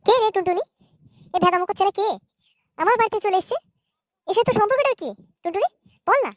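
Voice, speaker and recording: A woman plays a suspicious, hostile mother in a comedy cartoon. She hurls dismissive insults in a very high, rapid voice with a dry sound. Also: dry recording.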